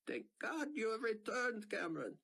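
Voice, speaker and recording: High-pitched older Israeli man, earnest and formal, husky tone, a man putting him on. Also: big pitch swings, normal speed, pushed and bright, exaggerated voice. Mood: shaken. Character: older Israeli man, gentle, scholarly, devout.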